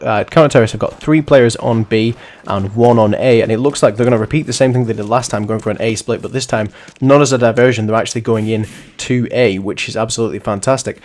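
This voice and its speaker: A young man, hoarse and sniffly from the flu, giving rambling, chatty commentary on a bot match. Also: mid-range voice, darkish tone. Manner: rambling, self-correcting, chatty. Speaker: host and commentator of a bot league. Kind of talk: casual gaming commentary.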